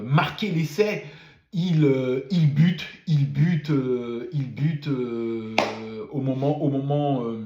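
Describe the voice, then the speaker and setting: A man with a cold talks through a race weekend in a loose, halting way, stumbling over repeated words. His voice sits at an ordinary middle pitch. The sound carries a noticeable room echo. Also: dark tone, highs cut off. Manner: hesitant, many fillers and repeated words. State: has a cold, coughing. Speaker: F1 commentator. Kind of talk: casual post-race debrief.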